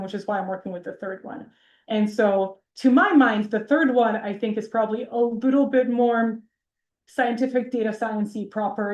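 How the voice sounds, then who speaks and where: A woman's voice: mid-range voice, a little mellow. A woman from Serbia, a researcher answering audience questions informally, with a wry, self-deprecating manner. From Belgrade, Serbia. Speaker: academic researcher giving a public talk. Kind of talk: informal Q&A after a public lecture. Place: university lecture hall. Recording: roomy lecture hall acoustics.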